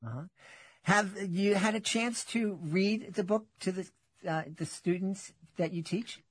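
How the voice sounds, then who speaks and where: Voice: medium pitch, a little slow, husky, dry recording. A podcast host interviewing a guest in casual talk, laughing between questions. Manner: friendly, laughs while talking.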